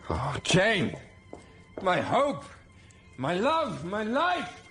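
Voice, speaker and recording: An actor playing a brooding, passionate middle-aged master of a country estate in a period radio drama, gravelly and intense. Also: male voice, high voice, huge pitch swings, drawn-out words, music in the background, heavily acted. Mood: anguished. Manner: intense, confessional, pleading.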